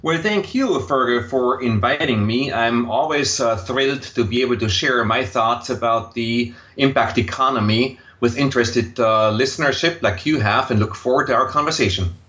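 A man in his sixties with an Austrian German accent, answering interview questions in a measured, thoughtful way with frequent fillers. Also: medium pitch, fairly bright tone. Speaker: guest, impact investor. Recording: slightly dull lo-fi audio.

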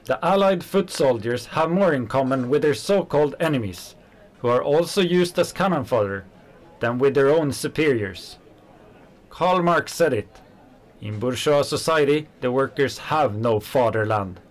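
An actor playing an angry working-class kitchen worker, a fiery socialist who talks in heated, scornful bursts. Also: male voice, medium pitch, lively intonation, normal speed, lightly acted, poor audio. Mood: impassioned. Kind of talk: radio play acting.

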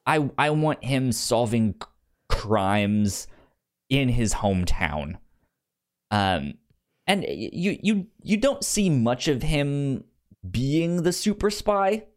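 A man's voice: medium pitch, very animated, slow pace. A man hosting a podcast in casual, informal chat, repeating words for emphasis. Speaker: podcast host.

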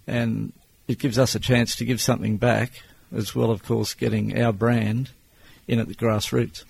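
A man in his sixties with an Australian accent, answering interview questions as a business owner in a plain-spoken, measured way. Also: medium pitch, level tone, gravelly, darkish tone, a little hiss or hum. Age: 60s.

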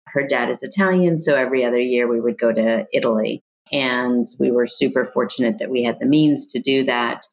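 A woman's voice: extremely low pitch, fairly quick, muffled recording. A middle-aged woman, a guest on an interview, telling a family story in a reflective, searching way with frequent restarts. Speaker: guest, adoptee mother. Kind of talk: reflective conversation.

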